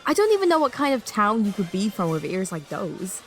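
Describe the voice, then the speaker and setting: A woman's voice: mid-range voice, big pitch swings, brisk, faint music behind. A woman playing a sensible, inquisitive youngster with a mildly skeptical manner in an audio drama. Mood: curious.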